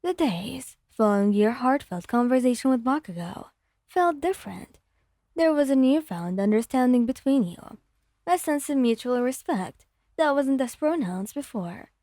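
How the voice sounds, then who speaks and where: A woman's voice: high voice, animated, moderate pace, dark tone, no echo. A woman narrating scripted fan fiction in an ASMR storytelling style, close to the microphone.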